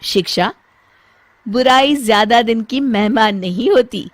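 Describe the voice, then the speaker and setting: A woman's voice: on the high side, unhurried, over music. A woman reading a scripted storybook tale as its narrator.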